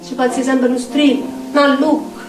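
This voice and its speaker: An actress playing a tormented street outcast in a theatrical monologue, speaking incantatory, repetitive lines with a Neapolitan accent. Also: female voice, high voice, flat delivery, fairly quick, natural voice. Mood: anguished. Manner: incantatory, dramatic, repetitive phrasing. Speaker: stage actress. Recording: echoey distant stage sound with music. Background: plucked guitar music.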